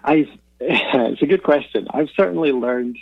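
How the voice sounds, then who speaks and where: A man's voice: medium pitch, a little slow, vocal fry, narrow, tinny audio. A Scottish man chatting reflectively as an interview guest, laughing often and restarting his sentences. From Scotland. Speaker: podcasting guest. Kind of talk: casual interview chat.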